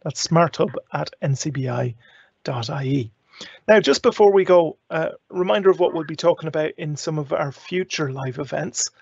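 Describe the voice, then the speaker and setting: Husky, creaky-voiced man hosting. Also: on the high side, moderate pace, darkish tone, no echo, lo-fi sound. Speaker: host of a live tech show. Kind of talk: casual live show presenting.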